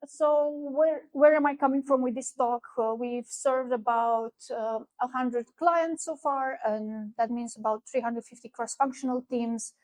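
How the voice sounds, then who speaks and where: A high-pitched middle-aged woman with a Romanian accent speaks at a conference, loose and conversational, often pausing on fillers. The sound is dry and close, with little room echo. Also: fairly even intonation, a little mellow. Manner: conversational, with frequent fillers and restarts. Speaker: keynote speaker. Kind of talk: conference talk. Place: conference venue.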